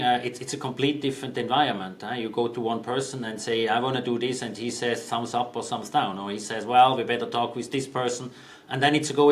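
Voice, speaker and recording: Man, 50s, from Switzerland; guest CEO, measured and hesitant, many restarts. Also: medium pitch. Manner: thoughtful, hesitant, with frequent ums and restarts. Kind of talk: conversational interview answers.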